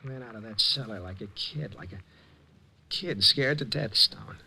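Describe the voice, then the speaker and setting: A man's voice: lowish voice, expressive, normal speed, creaky, bright, forceful tone, heavily acted. An actor playing a desperate, rattled man in a 1950s radio drama, tense and protesting, stumbling over his words. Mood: bitter, desperate. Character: desperate, rattled man tormented by a rival at work. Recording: vintage radio recording with faint noise.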